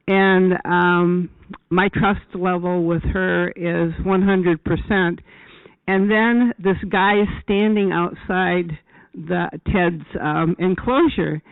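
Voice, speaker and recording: A woman speaking casually and earnestly, in halting phrases. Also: fairly low pitch, fairly even intonation, creaky, smooth, no echo. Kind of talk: casual live chat. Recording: thin, dry phone-like sound.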